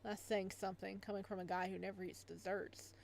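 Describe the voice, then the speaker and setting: A woman reading the visual novel lines of an easygoing, teasing young man in a casual, low-key way. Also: flat delivery, a little fast, creaky, a little mellow, slight background noise, lightly acted. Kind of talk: visual novel dialogue read aloud.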